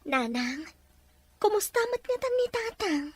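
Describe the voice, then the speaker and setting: A woman's voice: extremely high pitch, very animated, unhurried, dry recording, exaggerated voice. A woman voicing an earnest young daughter who longs for her parents, in an emotional audio drama. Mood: anxious.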